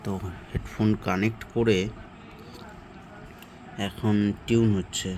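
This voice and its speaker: A man's voice: on the low side, level tone, slow pace. Noisy casual vlog, man. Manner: informal, thinking aloud in short remarks. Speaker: vlogger. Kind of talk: casual unboxing and product review chat. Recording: clearly noisy, ambient and vehicle sound.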